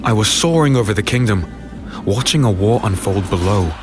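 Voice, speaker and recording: Low-voiced brooding fantasy hero, acted by a man. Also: lively intonation, natural voice. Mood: ominous, tense. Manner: deliberate, somber, dramatic. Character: weary, brooding male fantasy hero telling his own tale. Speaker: narrator and lead character. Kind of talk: first-person dark fantasy audio drama narration. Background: music.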